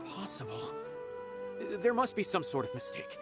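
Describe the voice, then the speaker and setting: Brief: a loyal young investigator, slightly high voice with lively rises and falls. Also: male voice, moderate pace. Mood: troubled. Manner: polite, firm when pressed. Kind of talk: game voice acting. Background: game music.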